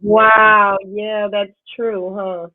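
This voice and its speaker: A woman's voice: medium pitch, flat delivery, extremely slow, no room sound. A woman chef co-hosting a cooking show, easygoing and agreeable in casual talk over a video call. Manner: easygoing, agreeable, laughs along. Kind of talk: casual chat. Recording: tinny phone-like line.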